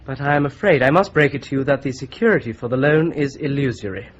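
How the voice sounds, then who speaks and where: A man's voice: mid-range voice, lively intonation, quick pace, slight background noise, lightly acted. A man playing a shrewd, methodical solicitor. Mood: explaining. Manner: methodical, patiently explaining his theory. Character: Boone, shrewd young male solicitor-detective, patient explainer. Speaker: solicitor investigating a fraud. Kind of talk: BBC-style radio drama acting. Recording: dull lo-fi radio play recording.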